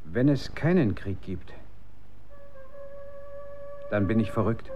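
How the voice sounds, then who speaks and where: A troubled, brooding man in a dystopian radio drama. His voice sits fairly low, and he searches haltingly for words as if unsure which world is real. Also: expressive, muffled recording, soft background music. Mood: urgent, brooding. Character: troubled former drug user in dystopian war, doubting his reality. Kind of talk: German radio drama acting.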